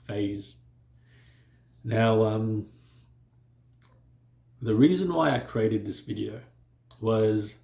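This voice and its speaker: A man who stutters, talking calmly and reflectively to camera, with pauses and occasional blocks on words. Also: lowish voice, gravelly, roomy sound. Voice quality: stutters and blocks on some sounds. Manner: calm, measured, with pauses and fillers. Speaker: vlogger sharing stuttering experience. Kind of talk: reflective personal talk to camera.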